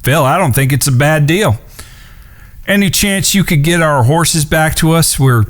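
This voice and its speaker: An actor playing a level-headed, well-spoken outlaw who talks in a reasoned way in a western audio drama. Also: male voice, a little high, measured pace, natural voice. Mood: calm, agreeable. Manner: reasoned, articulate.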